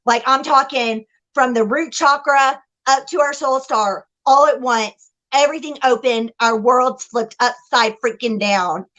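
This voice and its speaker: A woman's voice: high voice, fairly bright tone, poor audio. A woman with a Texan accent giving an effusive live spiritual reading as host. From Texas. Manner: effusive, exclamatory, preachy new-age delivery. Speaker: psychic reader.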